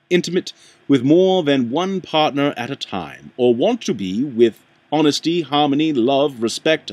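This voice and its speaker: A man reading a book aloud in a halting way, stumbling and rereading lines, with a put-on accent. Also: a little high, darkish tone, dry recording. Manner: puts on an accent while reading. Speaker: amateur reader.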